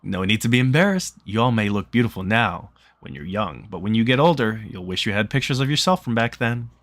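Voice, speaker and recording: A man's voice: lowish voice, animated, brisk, fairly bright tone, no echo. Man playing a brash, sleazy male photographer. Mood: coaxing.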